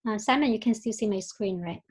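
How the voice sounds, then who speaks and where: A woman's voice: medium pitch, fairly quick, breathy edge. A woman from Vietnam with a Vietnamese accent, a company founder giving an earnest webinar presentation and telling her own story with frequent fillers over a video call.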